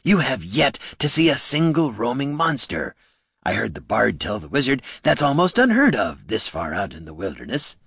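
A man's voice: medium pitch, huge pitch swings, normal speed, a bit bright, breathy edge, dry recording, studio quality, pitched above the performer's own voice, heavily acted. A man voicing a lonely troll who longs for friends, speaking wistfully in an audiobook. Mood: eager to please.

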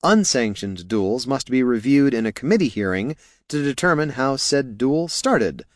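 A man's voice: mid-range voice, big pitch swings, normal speed, no echo, studio quality, voice pushed up, close to an everyday voice. A male narrator plays an anxious, placating quest organiser in a fantasy audiobook. His voice is raised above the reader's own, earnest and fretful. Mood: earnest concern.

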